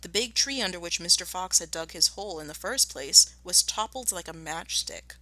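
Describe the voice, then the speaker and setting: A woman teacher giving a storybook read-aloud lesson, explaining and narrating in an expressive manner. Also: on the low side, moderate pace, dry recording, rough recording. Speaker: primary school teacher.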